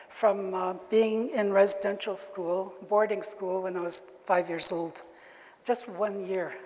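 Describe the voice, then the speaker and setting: An older woman who grew up speaking Ojibwe, giving a keynote talk at a conference in a slow, halting way with many fillers. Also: mid-range voice. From Canada. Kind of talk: keynote address. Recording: long hall reverb.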